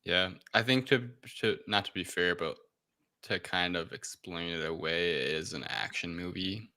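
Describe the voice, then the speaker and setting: A man's voice: deep voice, moderate pace, sharp tone. A man talking casually as a panelist in a film review discussion. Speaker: podcast panelist.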